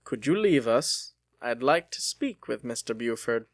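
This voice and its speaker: A man's voice: mid-range voice, lively intonation, unhurried, dry recording. A stern, imposing wealthy father in a storybook tale, voiced by a male reader. He speaks with commanding, businesslike authority and firmly varied intonation, in a very dry recording. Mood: formal, stern. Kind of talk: dramatic audiobook character voice.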